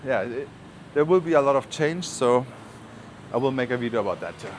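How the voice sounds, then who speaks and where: A man's voice: medium pitch, lively intonation, fairly quick. German-accented man vlogging over street noise. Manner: rambling, informal, joking asides. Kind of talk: casual walking vlog chat. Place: outdoors on city streets while walking. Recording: outdoor street noise, passing traffic. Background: urban street ambience, vehicles.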